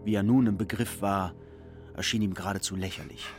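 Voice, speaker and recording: A man with a fairly low voice reads scripted literary narration for a radio play. Music plays clearly behind him. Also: fairly even intonation, fairly quick.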